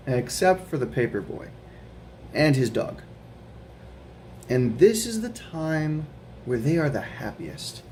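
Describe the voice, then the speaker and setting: A young adult man reading a children's picture book aloud at home, in a gentle storytelling way with chatty asides and questions for the listeners. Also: medium pitch, slight background noise. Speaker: guest reader of a children's book. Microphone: camera mic.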